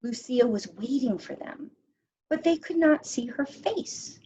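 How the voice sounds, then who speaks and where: Slow, husky woman reading a storybook. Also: high voice, expressive, mellow, muffled recording. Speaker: teacher reading a story to pupils. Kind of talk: expressive storybook read-aloud.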